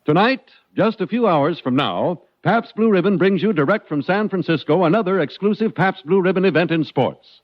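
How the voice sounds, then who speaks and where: Male vintage radio pitchman, lively intonation, higher register, dull 1950s broadcast sound. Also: normal speed. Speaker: radio announcer. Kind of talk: 1950s radio sponsor announcement.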